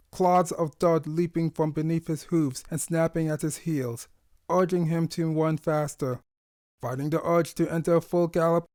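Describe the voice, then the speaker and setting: A man's voice: on the high side, a little mellow, clear, resonant tone, no echo, studio quality. A man reading a scripted story aloud as its narrator. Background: faint music.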